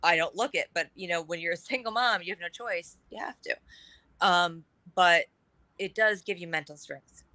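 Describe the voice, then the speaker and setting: A woman's voice: lowish voice, moderate pace, pushed and bright, dry recording. A middle-aged woman speaking as a guest in a casual talk-show conversation, candid and emphatic, running her thoughts together in long stretches.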